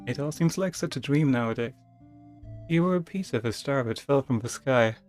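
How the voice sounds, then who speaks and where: A man voicing a wistful diary narrator in a dramatic reading of a text adventure, sentimental and measured. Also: mid-range voice, lively intonation, a little mellow, faint music behind, lightly acted. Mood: wistful, nostalgic.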